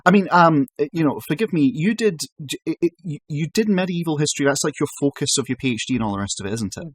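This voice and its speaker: A man's voice: fairly high pitch, lively intonation, quick pace, husky, no echo. A man hosting a podcast, talking casually in an exasperated, sweary way and often restarting his sentences. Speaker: podcast host.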